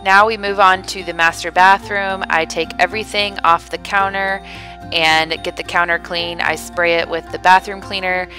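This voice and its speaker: A woman's voice: on the low side, level tone, normal speed, bright, forceful tone, soft background music. A woman in her thirties filming herself at home, chatting casually through her cleaning day with lots of "like" and "um". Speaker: vlogger. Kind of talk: cleaning vlog narration and selfie chat. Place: large house, indoors. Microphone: phone camera at arm's length.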